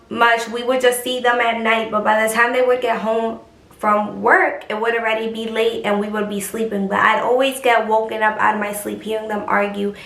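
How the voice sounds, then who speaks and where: Young woman vlogger from New York, twenties, high squeaky voice, frank and chatty, slightly high pitch. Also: bright, forceful tone, echoey room. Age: 20s. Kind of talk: casual talk to camera.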